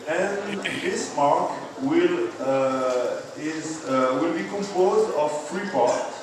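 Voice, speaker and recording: Man, professor, slow halting lecture, distant mic, echoey hall, crowd murmur. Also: fairly high pitch, expressive. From France. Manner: halting, many fillers and repeated okays. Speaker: university physics professor. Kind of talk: classroom lecture.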